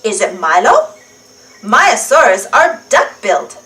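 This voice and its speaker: Female storybook narrator for preschoolers, very lively intonation, unhurried and deliberate pace. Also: mid-range voice, recorded from a distance, a little hiss or hum. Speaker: children's storybook reader. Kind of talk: animated read-aloud storytelling for young children.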